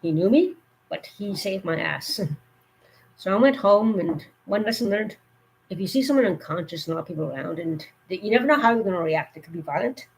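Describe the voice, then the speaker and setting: A woman with a cold, her voice going hoarse, giving earnest safety warnings in a rambling, casual live stream. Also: medium pitch, animated, a little fast, slight background noise, low-quality recording.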